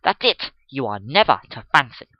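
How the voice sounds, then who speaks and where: A man voicing a domineering gentleman official who lectures pompously and emphatically in a dramatic storybook reading. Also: mid-range voice, big pitch swings, moderate pace, no room sound, highs cut off, lightly acted. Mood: gleefully triumphant. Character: loud, domineering gentleman official obsessed with fact.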